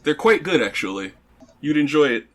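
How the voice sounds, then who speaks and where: A man's voice: a little high, very animated, moderate pace, a bit bright. A man playing a tabletop roleplaying game, speaking casually and conversationally in character.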